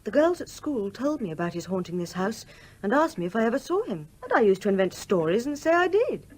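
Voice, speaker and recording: An actress playing an outspoken, affectionate young daughter in a radio play. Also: female voice, mid-range voice, big pitch swings, a little fast. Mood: confiding, fond.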